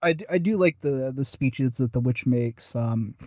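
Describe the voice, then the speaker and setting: A man co-hosting a podcast in casual chat, halting and self-deprecating, often losing his thread as he reviews. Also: medium pitch, darkish tone, no room sound, highs cut off. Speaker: podcast co-host. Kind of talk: casual chat, reviewing audio roleplay series.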